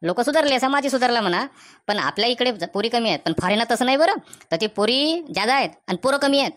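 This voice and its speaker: Cartoon village bachelor, male, voiced by an actor: practical, teasing, medium pitch, chatty Varhadi dialect. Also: expressive, fast, fairly bright tone, close to an everyday voice. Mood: explaining. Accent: Varhadi Marathi from Vidarbha.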